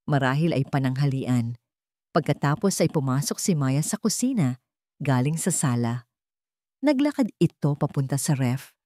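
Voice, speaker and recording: A woman's voice: a bass voice, expressive, normal speed, warm tone, no room sound, clean studio sound. A woman reading a scripted dramatic story as its narrator.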